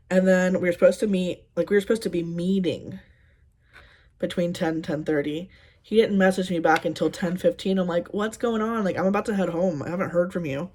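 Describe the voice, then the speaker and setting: Sarcastic woman in her thirties, low-voiced. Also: a little mellow. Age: 30s. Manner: sarcastic, exasperated storytelling; rambling with frequent ums. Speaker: beauty vlogger. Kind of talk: casual get-ready-with-me chat.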